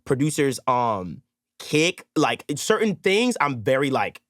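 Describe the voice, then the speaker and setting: A man's voice: mid-range voice, sing-song, takes it slowly, fairly bright tone, no room sound, clean studio sound. A man chatting casually as a podcast guest, slangy and acting out the remarks he quotes. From Brooklyn, New York. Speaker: podcast guest, mixing engineer. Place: recording studio.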